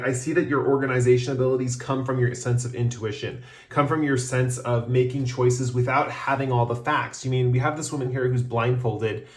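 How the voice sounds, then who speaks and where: A man giving a casual tarot reading, talking in a relaxed, rambling way with many fillers while he is losing his voice. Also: mid-range voice, roomy sound. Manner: relaxed, rambling, frequent ums, slows to announce cards. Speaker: tarot reader.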